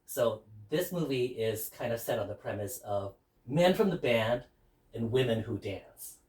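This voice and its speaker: A man from Burma hosting guests at his home, casually explaining a festival with a lively storytelling manner. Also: medium pitch, measured pace, low-quality recording. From Mandalay, Burma. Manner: lively storyteller, laughs while telling. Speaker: host. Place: home in Brooklyn.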